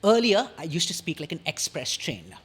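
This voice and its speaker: A young man with an Indian English accent, a podcast guest explaining communication in an articulate, measured way, pausing often between sentences. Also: on the high side, wildly swooping pitch, fairly bright tone, husky. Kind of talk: casual explanatory interview talk.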